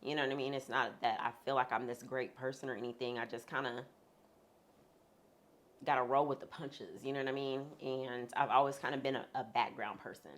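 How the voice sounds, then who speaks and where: A woman speaking frankly as a guest in a sit-down interview, talking with humorous asides in a deep, husky voice. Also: steady tone, brisk. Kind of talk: candid sit-down conversation.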